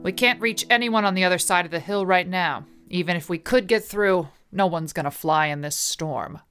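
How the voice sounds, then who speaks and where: An actress playing a brisk, dutiful woman who runs a remote mountain lodge in a radio play. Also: on the low side, fairly bright tone, close to an everyday voice. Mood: firm, matter-of-fact. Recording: music behind the voice.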